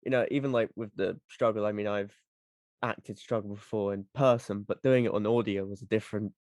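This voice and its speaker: A young man talks casually as a podcast guest. His voice is fairly low and dry, and he speaks earnestly, hesitating as he searches for the next phrase. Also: fairly even intonation, brisk, darkish tone, no echo. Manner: hesitant, earnest, repeats "you know". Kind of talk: casual chat.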